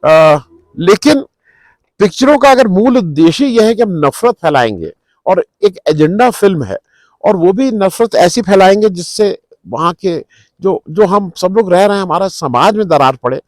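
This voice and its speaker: A man's voice: high-pitched, sing-song, moderate pace, a little mellow, no room sound. A middle-aged man gives unscripted political commentary, explaining things to viewers in a conversational way and mixing in English words. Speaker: news commentary host.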